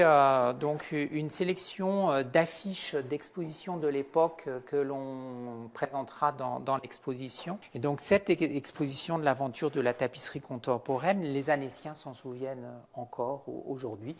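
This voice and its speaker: A woman from a museum explaining an exhibition being prepared, with some hesitation and fillers. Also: rumbling low. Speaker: museum staff guiding an exhibition. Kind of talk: guided tour explanation.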